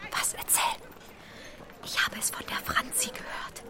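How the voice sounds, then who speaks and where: An actress playing a timid, gossiping young maidservant in a radio play, speaking in a furtive, hesitant, breathy whisper. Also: female voice, unhurried, natural voice.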